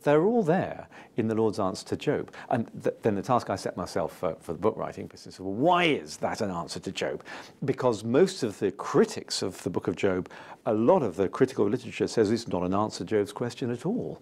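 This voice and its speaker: A British man in his fifties explaining as an interview guest, enthusiastic and emphatic, with halting phrasing. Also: fairly low pitch, very animated, gravelly, a little mellow, husky. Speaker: interview guest, scientist and author. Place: indoor TV-style studio.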